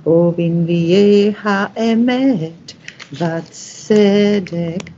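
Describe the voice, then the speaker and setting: A woman reading scripture and blessings in a deliberate, halting way, phrase by phrase. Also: medium pitch, warm tone, a little hiss or hum. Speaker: scripture reader. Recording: dull, muffled lo-fi audio.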